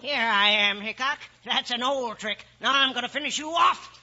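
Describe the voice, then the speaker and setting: Pint-sized boastful outlaw, very high voice, sneering, muffled vintage radio drama. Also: male voice, moderate pace, noisy background. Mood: menacing. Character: small, scrappy, defiant male outlaw villain. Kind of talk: 1950s radio western acting. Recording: muffled old radio recording.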